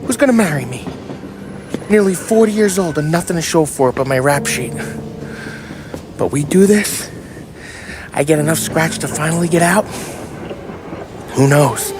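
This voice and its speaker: Casting: man playing a jittery small-time 1930s American gangster, late thirties, slightly high voice, bitter and slangy, music underneath. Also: animated, moderate pace, long pauses, natural voice. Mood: weary, bitter. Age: late 30s. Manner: streetwise slangy wiseguy talk. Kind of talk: cinematic video game crime drama acting.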